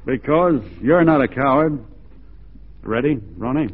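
Casting: male actor as steadfast cowboy from Texas, folksy and plain, middle-pitched, tinny vintage radio recording. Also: a little slow, natural voice. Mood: steady, frank. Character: easygoing, plain-spoken, steadfast Texan cowboy. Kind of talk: 1940s radio drama acting. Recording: thin band-limited 1940s radio recording.

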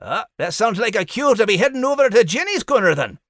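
A man's voice: sits high, big pitch swings, brisk, fairly bright tone, dry recording, clean studio sound. A performer voicing a friendly male terrier who co-hosts the podcast with a hearty, folksy manner. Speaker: podcast co-host.

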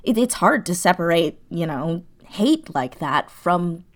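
A woman speaks as an interview guest in a slightly low register. Her delivery is conversational and reflective, and she often circles back to correct herself while searching for the right words. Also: lively intonation, a little slow. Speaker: audio drama creator being interviewed.

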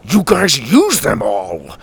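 A man's voice: sits high, very animated, normal speed, creaky, fairly bright tone, voice pushed up, exaggerated voice. A man voicing an urgent old grandfather who grunts and huffs through an adventure story. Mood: strained, apologetic.

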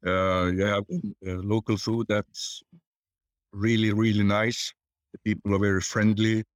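An older man, answering interview questions in a reflective, halting, conversational way. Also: fairly low pitch, little pitch movement, dry recording, studio quality. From born in Tanzania, grew up there and in Sweden. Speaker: podcast guest.

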